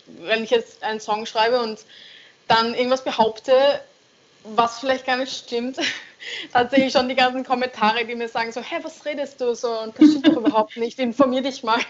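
A young woman talks through her songwriting in a relaxed interview, pausing and searching for the right words. Her voice sits a little high. The recording is dull and lo-fi. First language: German. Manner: hesitant, searching for words, laughs often. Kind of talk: casual interview answers. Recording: dull lo-fi sound.

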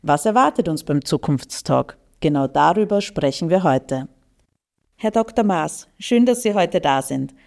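A woman hosting a podcast in a polished, read-aloud manner. Her voice sits low and sounds mellow and warm. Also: animated, a little slow, gravelly. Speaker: podcast host. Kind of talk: scripted introduction and interview questions.

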